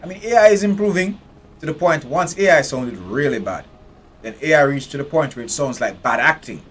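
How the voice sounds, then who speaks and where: A man's voice: on the high side, lively intonation, brisk, breathy edge. A man from Jamaica, giving blunt, profane live commentary while streaming a game.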